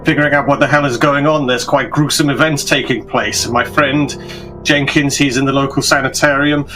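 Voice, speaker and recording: A man plays an intense male investigator in a tabletop horror game. He is agitated and insistent, with formal, halting phrasing in a medium-pitched voice. Music is clearly audible underneath. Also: steady tone, close to an everyday voice. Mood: tense, halting. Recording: music behind the voice.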